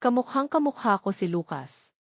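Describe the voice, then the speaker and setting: A woman's voice: mid-range voice, very animated, normal speed, no echo, clean studio sound. A woman with a Filipino accent voicing a brooding, wounded male CEO in an audiobook romance.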